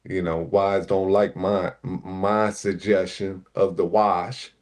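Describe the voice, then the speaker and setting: A low-voiced man from Puerto Rico, raised in Newark, chats in a relaxed way on a livestream. He fills his talk with "you know" and speaks as a regular on the panel. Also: slow. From Puerto Rico, raised in Newark, New Jersey. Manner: laid-back, many "you know" fillers. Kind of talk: casual livestream chat.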